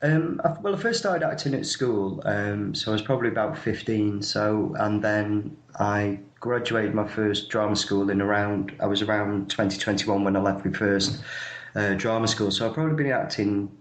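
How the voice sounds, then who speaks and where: A man's voice: on the low side, fairly even intonation, moderate pace, roomy sound, poor audio. A man in his thirties chatting casually as an interviewed actor, hesitant and self-effacing, often repeating his words. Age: 30s.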